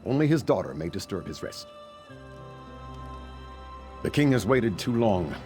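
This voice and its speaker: An actor playing a stern, weary and loyal guard of a desert tribe in a video game. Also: male voice, fairly low pitch, sing-song, measured pace, over music. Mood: stern, protective. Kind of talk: video game character dialogue.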